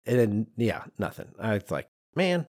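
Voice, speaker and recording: A middle-aged man co-hosting a podcast in a conversational, animated and emphatic way, with some self-deprecation. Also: on the low side, moderate pace, no room sound, studio quality. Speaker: podcast co-host.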